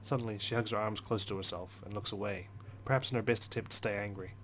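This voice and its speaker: A man with a fairly low voice narrates a visual novel in the first person. His reading is expressive and deliberate, with dramatic phrasing. Faint music plays behind him. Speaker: let's play host reading game narration.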